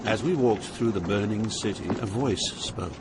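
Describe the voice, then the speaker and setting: An actor playing an awed poet who recounts his journey through Hell in measured, literary dramatic narration. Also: fairly low pitch, heavy background noise, lightly acted. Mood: somber. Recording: loud ambient sound effects behind narration.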